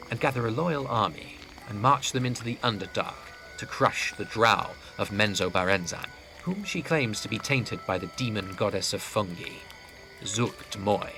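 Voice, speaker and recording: A man narrating a scripted fantasy audio drama as storyteller, with a gravelly voice. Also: on the low side, rough recording. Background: clear background music.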